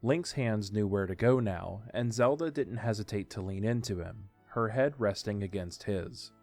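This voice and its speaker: A man's voice: on the low side, normal speed, darkish tone. A man reading scripted narration for an audio drama story. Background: faint music.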